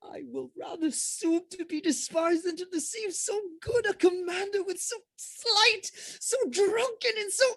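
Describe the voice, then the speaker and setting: A disgraced, drink-sodden lieutenant played by a woman, anguished and theatrical in Shakespearean verse. The voice is extremely high, drags slowly through the words and sounds close and dry. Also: no echo. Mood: tearful despair. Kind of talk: Shakespearean audio drama acting.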